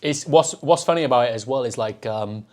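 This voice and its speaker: A young Welsh man hosting a podcast, chatting casually with jokes and quick laughs. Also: mid-range voice, a little mellow. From Wales. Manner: joking, rambling, quick laughs. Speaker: podcast host. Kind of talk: casual chat.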